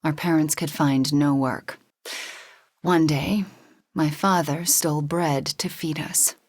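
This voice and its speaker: An actress voicing a young, guilt-ridden sorceress superhero in a video game, soft and breathy, earnest and measured with a wry edge. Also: female voice, sits low, fairly even intonation, dry recording, studio quality. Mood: somber, measured. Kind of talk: acted video game dialogue.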